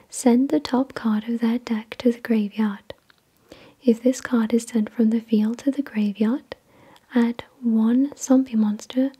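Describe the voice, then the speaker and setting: A woman whispering in a breathy, unvoiced voice, reading in a gentle, measured ASMR style. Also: fairly high pitch. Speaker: ASMR host. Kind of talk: whispered ASMR card unboxing, scripted reading.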